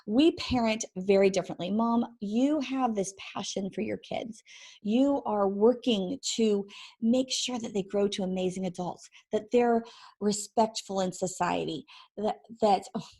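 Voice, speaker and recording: A woman in her forties with a croaky, hoarse voice, hosting a chatty faith-based parenting vlog, laughing often and drifting into tangents. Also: mid-range voice, measured pace, dark tone. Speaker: vlog host and ministry founder. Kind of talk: casual faith-based parenting talk to camera. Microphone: microphone she sometimes bumps.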